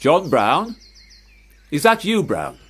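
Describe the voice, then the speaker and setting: A man's voice: a little high, wildly swooping pitch, slow, husky, full character voice. An actor playing a stern, older clergyman father in a radio play, formal, curt and commanding. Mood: cold disapproval.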